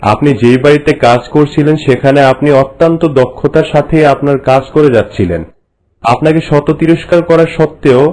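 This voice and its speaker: A man's voice: on the high side, darkish tone, natural voice, rough recording. A man playing a reverent royal courtier in a cartoon, explaining things formally and respectfully to his queen. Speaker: storytelling courtier. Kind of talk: scripted cartoon dialogue in formal narration. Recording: music bed under the voice. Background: music.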